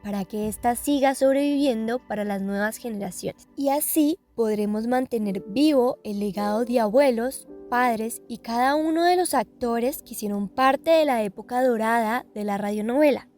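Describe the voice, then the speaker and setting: High-pitched Colombian woman narrating. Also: moderate pace, hardly any pauses, a little mellow, faint music behind. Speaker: documentary narrator. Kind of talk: scripted radio documentary narration.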